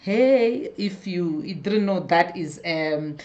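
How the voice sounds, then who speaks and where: A woman's voice: on the low side, expressive, slow, a little mellow, muffled recording. A woman hosting a casual live stream, chatting to viewers, greeting them by name and often restarting her sentences. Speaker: hair care vlogger hosting a live stream.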